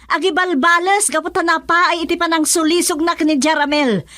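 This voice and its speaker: An indignant young daughter in a radio drama. Her voice is very high and bright with a Filipino accent, accusing and sharp, in a dry, close recording. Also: steady tone, a little fast, no room sound.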